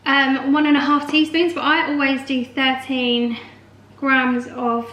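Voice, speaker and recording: A woman chatting casually through a baking vlog with rambling explanations, her voice slightly hoarse. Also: high-pitched, flat delivery, unhurried, fairly bright tone. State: slightly hoarse from a long call. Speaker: vlogger and home baker. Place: home kitchen. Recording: echoey room, faint noise, clicks.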